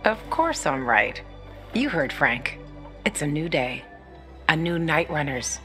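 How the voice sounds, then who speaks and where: A woman's voice: sits low, big pitch swings, takes it slowly, bright, forceful tone, heavily acted. A woman playing a tough, candid young fighter with a wry, guarded manner in a video game cutscene. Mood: candid, reflective. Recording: music behind the voice.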